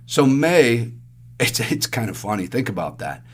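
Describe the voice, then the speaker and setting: A man with a raspy, allergy-hoarse voice, chatting through monthly market results on a podcast with everyday analogies. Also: mid-range voice, big pitch swings, unhurried, a bit bright. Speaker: investment advisor co-host. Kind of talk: casual podcast market commentary.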